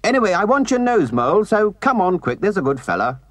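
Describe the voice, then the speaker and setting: A man voicing a cheerful, kindly male rat in a storybook, hearty and chummy with a brisk, reassuring way of talking. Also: high-pitched, very animated, a bit bright, no room sound, pitched above the performer's own voice. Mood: brisk, urging. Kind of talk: storybook character reading.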